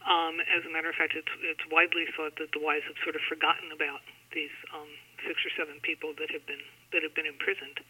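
A woman being interviewed as an author, explaining things in a deliberate, halting way with pauses between phrases over a thin telephone line. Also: low voice, level tone, bright, forceful tone, breathy edge. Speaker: author guest. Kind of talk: interview, explaining own novel.

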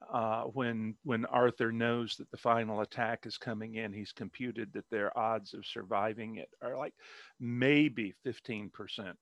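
A man's voice: on the low side, a bit bright, no echo. A man, an author, chatting casually in an interview over a video call, rambling with many fillers and restarts.

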